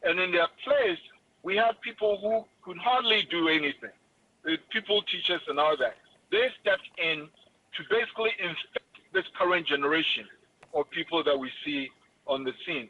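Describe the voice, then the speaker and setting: Emphatic Ghanaian man, high voice. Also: moderate pace, sharp tone. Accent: Ghanaian English. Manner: emphatic, lecturing. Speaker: guest expert. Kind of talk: interview on education. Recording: muffled radio broadcast with faint noise.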